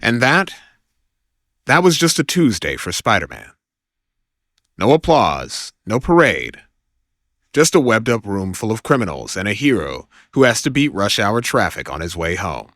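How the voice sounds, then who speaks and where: A man's voice: fairly low pitch, very animated, moderate pace, a bit bright, no echo, studio quality. A man narrating an audio drama from a script in a wry, theatrical way.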